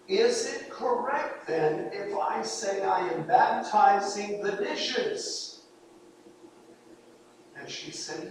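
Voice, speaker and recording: A man's voice: high voice, a little hiss or hum. A man preaching a sermon, earnest and pleading, pressing his points with emphatic repetition. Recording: echoey hall, voice from far off.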